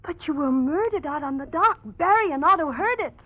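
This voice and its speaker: A woman's voice: high voice, animated, a little fast. An actress playing a haunted young woman in a 1940s radio thriller, melodramatic, anguished and hesitant in delivery. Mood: bewildered. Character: frightened young woman haunted by a supposed ghost. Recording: muffled, hissy 1940s radio recording.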